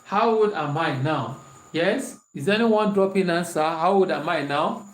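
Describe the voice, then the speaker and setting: Slow-talking Nigerian maths teacher in echo. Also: male voice, on the high side, far from the mic. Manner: slow, repeats questions and calls out students' answers. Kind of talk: online maths lesson, working through examples. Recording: echoey room, voice off-mic, faint hiss.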